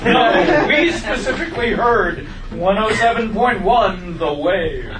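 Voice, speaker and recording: A man's voice: high-pitched, animated, takes it slowly, a loud crowd. A man playing a peppy, smug radio contest DJ with announcer-like delivery at a sitcom table read. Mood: smugly apologetic. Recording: roomy, distant, faintly noisy live recording.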